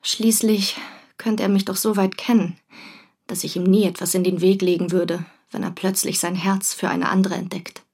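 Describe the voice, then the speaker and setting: A woman's voice: medium pitch, little pitch movement, normal speed, creaky, a little mellow, slightly rough. An actress playing a young, jealous mistress, reading her diary in a restrained, bitter manner in a radio drama. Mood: wounded, bitter.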